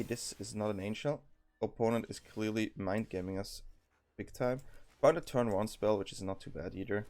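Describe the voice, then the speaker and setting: A young man with a Swiss accent giving casual, thinking-aloud commentary as he plays a card game, with frequent ums and restarts. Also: fairly low pitch. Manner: thinking aloud, many ums and self-corrections.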